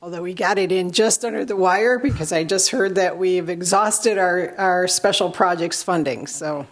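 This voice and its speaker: A hoarse woman with a fairly low voice gives a short, halting update at a city council meeting, filling her pauses with ums. She sounds middle-aged. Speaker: county commissioner. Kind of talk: public meeting remarks. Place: council chamber.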